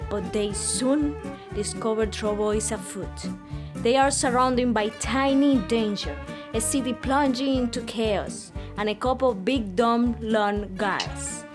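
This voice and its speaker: A woman's voice: a little high, measured pace. Cuban-accented woman doing a mock trailer voiceover. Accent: Cuban Spanish. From Cuba. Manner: mock-grand, over-the-top announcer delivery. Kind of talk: comic movie trailer voiceover. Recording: music bed under the voice.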